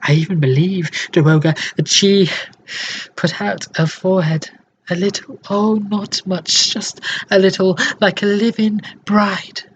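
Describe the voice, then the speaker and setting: A man playing a dying, lovesick, tormented man in a dramatic monologue, halting and breathy, often sinking toward a whisper. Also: high-pitched, fairly even intonation, voice pushed up. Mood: tender, overwhelmed. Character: dying, lovesick tormented man confessing his tender, morbid obsession. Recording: dull, slightly noisy home recording.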